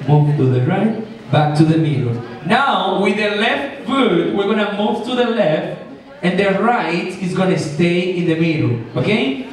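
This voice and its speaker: A man with a fairly high voice calls out dance steps to a group. He is heard from a distance in a large indoor space, with very heavy echo. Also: expressive, measured pace. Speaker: dance instructor. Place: large indoor ship atrium. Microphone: camera at a distance. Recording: very echoey hall, off-mic.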